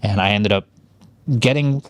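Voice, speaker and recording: A man's voice: lowish voice, moderate pace, dry recording. A middle-aged man from Hawaii co-hosting a podcast, telling his life story in a rambling, casual way with many fillers and restarts. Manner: rambling, frequent fillers and self-corrections. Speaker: podcast co-host.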